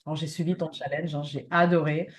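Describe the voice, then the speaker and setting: A woman hosts an online business challenge over a video call. She is chatty and enthusiastic, and her lively introduction of the guest is full of hesitations and filler words. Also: low voice, a little fast. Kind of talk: casual interview introduction.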